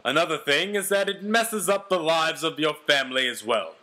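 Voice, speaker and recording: A man's voice: on the high side, normal speed, bright, forceful tone. A man voicing a brash, self-important ex-convict quarterback telling his own story with melodramatic, boastful delivery. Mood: earnest, preachy.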